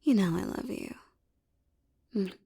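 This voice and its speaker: Woman acting a creaky, mellow female mafia boss. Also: medium pitch, a little slow, no room sound, studio quality. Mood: teasing, fond. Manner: intimate, teasing, streetwise tough talk. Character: tough young female mafia boss, affectionate with her lover. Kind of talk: ASMR roleplay acting.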